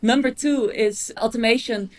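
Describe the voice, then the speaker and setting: A woman's voice: on the high side, moderate pace, darkish tone. A Dutch-born woman chatting as a podcast guest, often restarting her sentences and laughing easily. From Netherlands. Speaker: podcast guest, agency owner. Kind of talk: casual interview chat.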